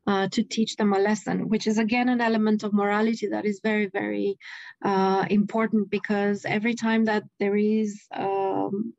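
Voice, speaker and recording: A woman in her forties from Romania, speaking with a Romanian accent, telling a story as an anthropologist guest in an animated way on a video call. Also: medium pitch, creaky, dry recording. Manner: animated, frequent restarts, laughs mid-sentence. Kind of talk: casual interview storytelling. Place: home room with bookshelves. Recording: dry, muffled video call audio.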